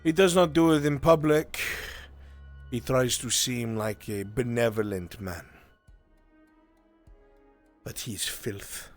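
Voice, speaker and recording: A man playing a grave, earnest character in a fantasy roleplay, speaking deliberately with dramatic pauses. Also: medium pitch, soft background music. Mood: bitter, grim. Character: grave, earnest man pleading for a lost enslaved friend. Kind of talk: in-character improvised fantasy acting.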